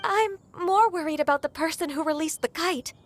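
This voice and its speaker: A very high-voiced gentle young woman. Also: moderate pace. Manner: soft-spoken, courteous. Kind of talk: game voice acting.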